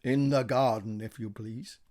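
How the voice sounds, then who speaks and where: A man's voice: mid-range voice, fairly even intonation, unhurried, a little mellow, lightly acted. A man voicing a bossy, prim, house-proud widowed landlady with a fussy, commanding manner in a radio play. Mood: curt correction. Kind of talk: radio play verse drama.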